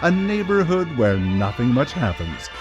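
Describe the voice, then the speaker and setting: Ominous male storyteller, acted, radio drama narration, very expressive pitch, music behind. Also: a little slow, muffled recording. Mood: ominous, measured. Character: ominous host narrator of an eerie anthology. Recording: live radio studio broadcast with music.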